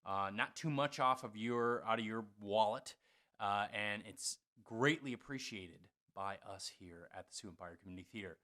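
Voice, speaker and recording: A man hosting a theater show, making an informal, halting fundraising appeal in a slightly apologetic way. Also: lowish voice, pushed and bright.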